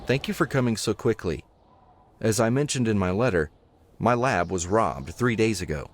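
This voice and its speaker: A man's voice: lowish voice, animated, normal speed, slight background noise, lightly acted. An actor playing a formal, earnest male professor and inventor in a video game, with theatrical delivery. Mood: polite, concerned. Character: distressed male professor and inventor of mechanical animals. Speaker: game character. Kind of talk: scripted video game voice acting.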